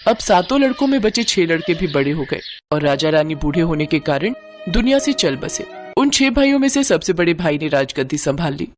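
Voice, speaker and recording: A woman narrating a folk tale in a dramatic, expressive storytelling style. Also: sits low, fairly quick, faint music behind.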